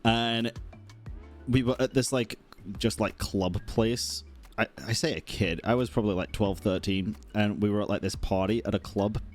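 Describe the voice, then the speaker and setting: A man's voice: lowish voice, steady tone, normal speed. Casual rambling man streaming a game. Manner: rambling, slangy. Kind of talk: casual game reaction commentary. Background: game music.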